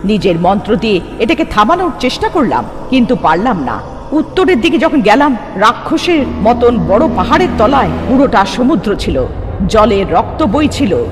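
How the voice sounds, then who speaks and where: A woman's voice: fairly high pitch, very animated, normal speed, noisy background. A woman playing a distressed young sorceress, narrating her ordeal in the first person in an earnest, dramatic cartoon style. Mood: grave, dramatic. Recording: long reverb under loud music.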